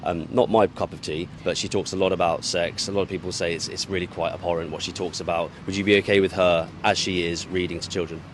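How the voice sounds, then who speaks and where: A man with a very deep voice quizzes people on a city street, talking quickly. He hedges and stumbles as he rephrases his questions. Traffic hums faintly behind him. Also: flat delivery. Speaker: street interviewer. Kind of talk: vox pop questioning.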